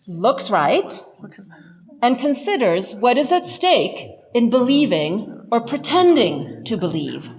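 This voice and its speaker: A woman giving a scripted lecture narration in a measured, articulate, emphatic manner. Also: a little high, big pitch swings, big-room sound.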